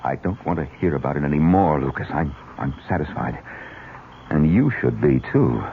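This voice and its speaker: Deep-voiced, curt scheming husband. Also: male voice, animated, moderate pace. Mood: firm, cold. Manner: curt, tense, evasive. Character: scheming, irritable married man hiding a murder. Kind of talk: old-time radio drama acting. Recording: muffled, noisy old radio recording.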